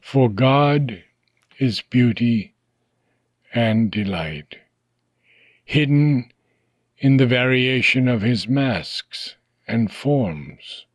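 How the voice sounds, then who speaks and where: A man reading a philosophical text aloud in slow, deliberate phrases, often trailing off softly at the ends of lines. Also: medium pitch, animated, no room sound. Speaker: reader.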